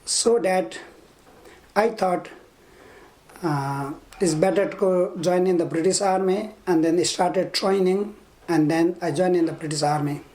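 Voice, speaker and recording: Very slow-speaking Nepali-accented man in his fifties. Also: sits high, darkish tone, slight background noise, low-quality recording. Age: 50s. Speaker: interviewee, army veteran. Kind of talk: oral history interview, recounting own life.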